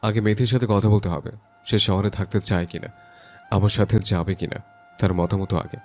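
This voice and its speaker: A man playing a brooding, gruffly tender young newlywed hero in an audio love story. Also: fairly low pitch, steady tone, moderate pace, a little mellow, slight background noise, close to an everyday voice. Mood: calm. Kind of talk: Bengali audio story acting.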